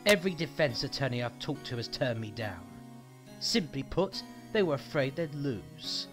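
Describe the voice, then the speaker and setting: An actor playing a proud, bitter young prosecutor, condescending and sardonic, in a dramatic fan audio drama. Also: male voice, medium pitch, sing-song, moderate pace, music in the background, natural voice.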